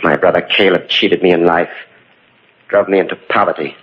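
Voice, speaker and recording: An actor playing a deranged, falsely polite murderer in a 1940s radio mystery, speaking with theatrical, drawn-out menace. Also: male voice, deep voice, fairly even intonation, lightly acted. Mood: brooding gloating. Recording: muffled, noisy 1940s radio recording.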